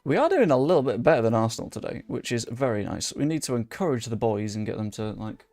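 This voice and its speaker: Brief: young guy, gravelly, dry close mic, casual gaming commentary with faint music under it. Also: mid-range voice, big pitch swings, quick pace, a little mellow, no echo. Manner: chatty, swears under his breath, talks to viewers and chat. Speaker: gaming YouTuber. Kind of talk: casual live commentary over a football management game.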